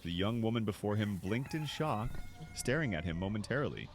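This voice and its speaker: Brief: male narrator for dramatic fiction, low voice with lively rise and fall, expressive scripted read. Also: a little fast, over music. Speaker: narrator and voice actor.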